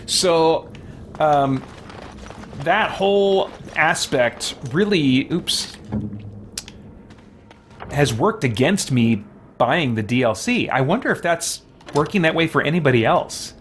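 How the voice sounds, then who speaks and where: A man's voice: a little high, lively intonation, takes it slowly, pauses often, slight background noise. A man live-streaming a game, chatting casually and reading viewer comments, rambling as he thinks aloud. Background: game music.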